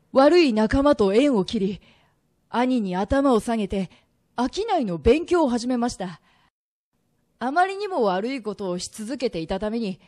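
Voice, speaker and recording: A woman's voice: on the high side, darkish tone. A woman playing a remorseful, earnest young merchant in a radio drama, telling his story as an emotional confession. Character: reformed young merchant man, earnest, remorseful, pleading son.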